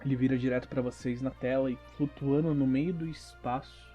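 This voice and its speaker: A man's voice: medium pitch, steady tone, brisk, warm tone, highs cut off, soft background music. A man with a Brazilian accent narrating a role-playing audio drama as game master, improvising descriptions and lingering on key words.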